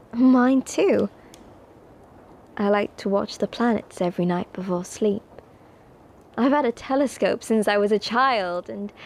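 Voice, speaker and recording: A woman's voice: mid-range voice, creaky, darkish tone. A woman playing a haughty, prim upper-class schoolgirl at a wizard academy in an ASMR roleplay, snooty, scolding and teasing. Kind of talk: ASMR roleplay addressed to the listener.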